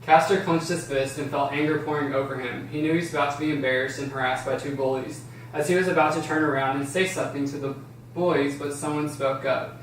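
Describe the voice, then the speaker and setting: Higher-voiced man narrating in an echoey room. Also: fairly even intonation, a little fast. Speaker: narrator reading stage directions. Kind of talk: scripted narration at an amateur table read. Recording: roomy, distant, faint noise.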